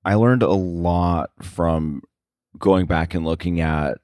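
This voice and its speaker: Deep male voice, casual interview guest, halting rephrased sentences, very dry close sound. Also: a little mellow, smooth, no room sound. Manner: halting, filler-heavy, circling back to rephrase. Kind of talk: casual shop talk.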